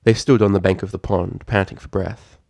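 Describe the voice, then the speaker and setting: A man narrates scripted fiction in a low voice with a gravelly, creaky edge. He reads expressively and with drama, and the recording is very dry and close. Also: fairly even intonation, a little fast, darkish tone, no room sound.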